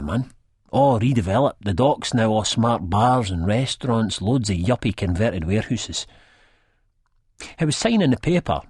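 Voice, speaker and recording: An actor playing a rambling, good-hearted, drug-addled man from Leith with a husky Edinburgh accent, telling his story in an audiobook. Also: fairly low pitch, darkish tone, dry recording, clean studio sound. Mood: animated, indignant. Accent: Edinburgh Scottish. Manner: colloquial, rambling, peppered with "man". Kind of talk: first-person audiobook narration, acted in character.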